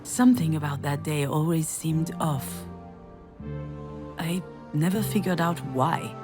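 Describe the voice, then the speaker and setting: Reflective thirties woman, deep register, hesitant, wistful, introspective game narration, clear music behind. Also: unhurried. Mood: pensive. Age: 30s. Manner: musing, hesitant, confiding. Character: reflective 36-year-old woman recalling her childhood, uneasy and wistful.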